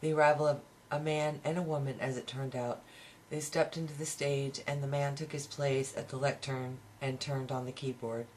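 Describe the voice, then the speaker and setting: A man's voice: mid-range voice, level tone, normal speed, darkish tone. A man reading a novel aloud as an amateur, now and then tripping on a word and restarting, on a home recording. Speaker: amateur audiobook reader. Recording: home recording with faint background noise.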